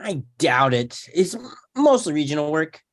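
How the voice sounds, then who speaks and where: Low-voiced woman as touchy young actor guy, very animated. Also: unhurried. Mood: defensive. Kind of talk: sitcom script table read.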